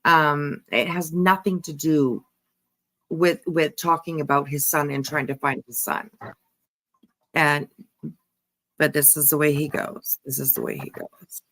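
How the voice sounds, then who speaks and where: A woman host giving casual reaction commentary, musing in a halting, repetitive, emphatic way. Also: deep voice, steady tone, a bit bright. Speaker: host giving commentary on a true-crime case.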